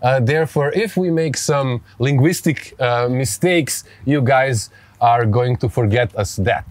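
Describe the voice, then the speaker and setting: A man from Serbia with a Serbian accent hosts a conversational interview, speaking haltingly and repeating phrases as he frames his questions. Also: medium pitch, no room sound. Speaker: podcast host.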